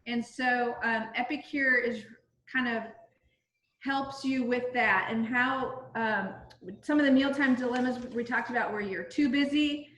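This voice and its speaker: A middle-aged woman from Southern California runs a casual home cooking demonstration over a video call, her voice sitting a little high. She keeps it chatty and informal, full of ums and restarts. The call audio carries a noticeable roomy echo. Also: fairly bright tone. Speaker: direct-sales cooking consultant.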